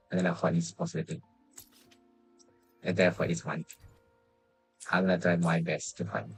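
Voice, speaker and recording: Deep voiced guy streaming a game, mumbly bits of commentary, music faintly behind him. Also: slightly rough. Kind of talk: casual gameplay commentary. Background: game music and dialogue.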